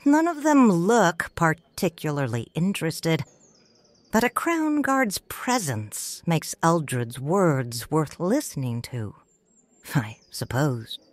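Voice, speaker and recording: A woman with a low voice reading a first-person story for an audiobook. She narrates slowly and tenderly, with a lively rise and fall in her lines. The sound is dry and close. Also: no echo. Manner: slow, grave and tender.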